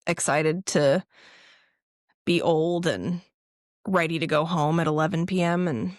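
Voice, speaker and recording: A woman's voice: low-pitched, measured pace, dry recording, clean studio sound. Chatty young woman telling a story. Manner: animated storytelling with laughs and acted quotes. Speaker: podcast co-host. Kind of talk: casual chat.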